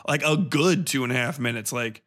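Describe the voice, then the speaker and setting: A man with a bright, forceful voice at a middling pitch hosts a casual review show. He rambles sarcastically and swears freely, hammering some phrases for emphasis. His delivery is irreverent and conversational. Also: brisk. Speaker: podcast host reviewing a film. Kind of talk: casual, profane film review monologue.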